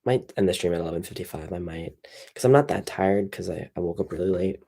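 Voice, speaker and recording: A young man with a very low, gravelly voice chats on a livestream, sounding tired. He rambles loosely and fills gaps with "like" and "um." His tone is dark and slightly husky. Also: fast talker. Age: young adult. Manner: relaxed, rambling, many fillers. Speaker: streamer. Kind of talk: casual livestream chat.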